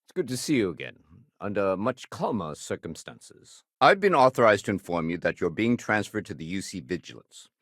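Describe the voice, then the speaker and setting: A dry, low-voiced security sergeant. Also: male voice, very animated, normal speed, fairly bright tone, dry recording. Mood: businesslike. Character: male city security sergeant, dry, no-nonsense, dutiful. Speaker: video game character voice actor. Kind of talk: video game dialogue.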